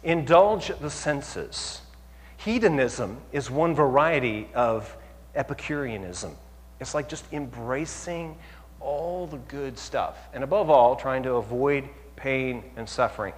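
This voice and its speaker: A man's voice: mid-range voice, slow pace. Man preaching in a reverberant hall. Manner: animated, rising to near-shouts for emphasis; asks the audience rhetorical questions. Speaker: pastor. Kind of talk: sermon.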